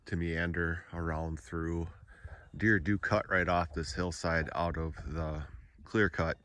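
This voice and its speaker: A sick man whose voice sounds nasal, giving a relaxed, rambling narrated tour as a landowner. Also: low-pitched. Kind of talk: casual narrated drone tour.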